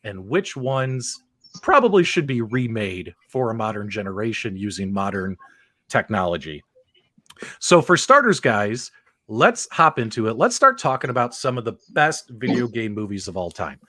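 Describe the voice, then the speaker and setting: Lively, upbeat man hosting a panel. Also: fairly low pitch, normal speed, no room sound, clean studio sound. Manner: upbeat, addresses the audience directly. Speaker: host. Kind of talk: hosting a live panel discussion.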